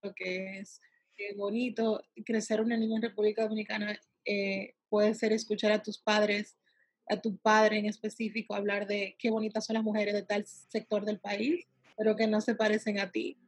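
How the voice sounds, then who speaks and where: A woman from the United States answers interview questions in a husky voice with little pitch movement. She speaks haltingly, often stretching pauses to find the next word. The sound is dry and close. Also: little pitch movement, dry recording, poor audio. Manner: halting, searching for words with drawn-out pauses. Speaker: interviewee, salon worker and empowerment advocate for girls.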